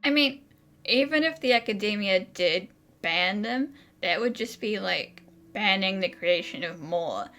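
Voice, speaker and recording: A woman's voice: mid-range voice, animated, unhurried, pushed and bright. A woman giving casual, conversational game playthrough commentary, chatting along with the characters. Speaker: gaming video creator.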